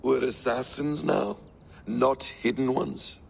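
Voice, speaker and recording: An actor playing a suave, smug schemer with a deliberate, silky delivery in a video game. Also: male voice, medium pitch, lively intonation, gravelly, slightly rough, full character voice. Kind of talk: video game cutscene acting.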